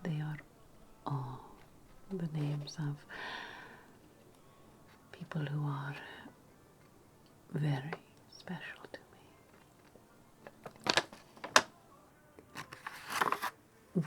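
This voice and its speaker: A woman playing a sly, menacing ancient Roman witch hostess in a whispered ASMR roleplay, speaking deliberately with repeated phrases and lingering hums. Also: low voice, level tone, noisy background. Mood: coy, secretive.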